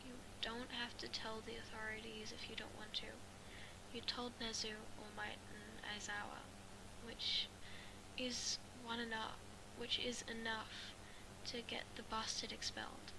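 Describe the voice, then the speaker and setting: A woman voicing a protective, tender teenage boy in a story reading, soft and reassuring. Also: a little high, little pitch movement, unhurried. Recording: clearly noisy amateur recording.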